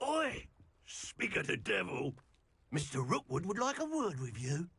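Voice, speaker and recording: A man voicing a menacing criminal henchman in a video game, with a raspy voice and a rough, slangy, threatening manner. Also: sits high, wildly swooping pitch, a little slow, a bit bright, dry recording, full character voice. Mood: impatient, menacing. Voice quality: raspy, gravelly. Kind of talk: video game combat dialogue.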